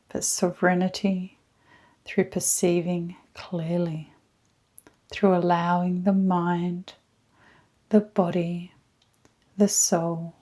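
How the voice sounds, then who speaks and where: A woman leading a scripted guided meditation in a soft, at times breathy voice, with hushed, soothing, deliberate phrasing and frequent pauses. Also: fairly low pitch. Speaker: guided meditation leader, energy healer.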